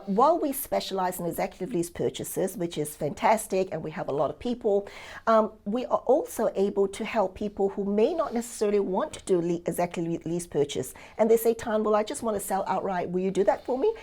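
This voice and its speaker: A woman's voice: medium pitch, warm tone. A woman from Singapore who later lived in Australia, talking as a real estate coach in an upbeat, emphatic, conversational testimonial, often checking in with rhetorical questions.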